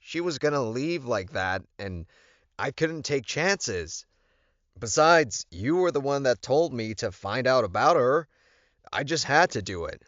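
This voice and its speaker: Man acting a defensive, pleading werewolf alpha, raised pitch. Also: very animated, measured pace, a bit bright, dry recording, highs cut off.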